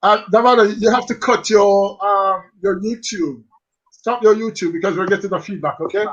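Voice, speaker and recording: Chatty Jamaican hostess on a muffled call. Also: female voice, normal speed. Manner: chatty, affectionate, calls guests "my dear". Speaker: host. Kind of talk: hosting a casual live stream. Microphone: video call mic. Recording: muffled low-fi video call.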